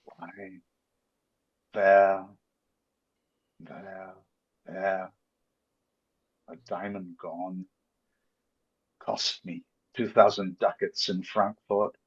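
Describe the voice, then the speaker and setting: A man playing a bitter, vengeful merchant and wronged father in a theatrical Shakespeare reading, declaimed deliberately with emphatic pauses. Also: low-pitched, little pitch movement, husky, exaggerated voice. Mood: anguished lament. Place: online video call reading. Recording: muffled video call audio.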